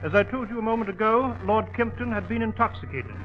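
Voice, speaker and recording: An actor playing a bluff, loyal, easily puzzled older English gentleman in a 1940s radio drama, with a blustery British delivery. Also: high-pitched, fast talker, highs cut off, close to an everyday voice. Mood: storytelling. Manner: blustery, exclaiming.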